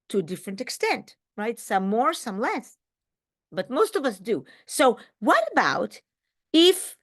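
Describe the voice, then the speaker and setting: A woman giving a reflective spiritual teaching talk, speaking emphatically with rhetorical questions and pauses for effect. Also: on the high side, fairly bright tone. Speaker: religious teacher.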